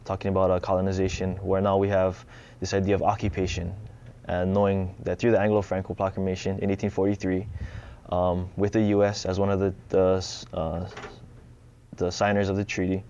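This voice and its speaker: A young man speaking as a guest on a TV studio panel, calm and earnest, steady with fillers. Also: low voice, brisk, smooth, muffled recording. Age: young adult. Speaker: student and teacher, panel guest.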